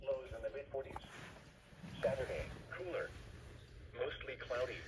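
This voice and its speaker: A computer-generated man's voice reading an automated weather forecast and test announcement in measured, clipped phrases. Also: medium pitch, fairly even intonation. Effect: text-to-speech voice. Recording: lo-fi radio speaker with loud static. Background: loud radio noise.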